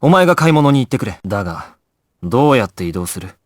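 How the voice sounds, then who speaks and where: An actor playing a calm, commanding young gangster squad leader in an anime video game, grave and decisive, giving clipped orders. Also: male voice, medium pitch, fast, no room sound, clean studio sound. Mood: grave, deliberate.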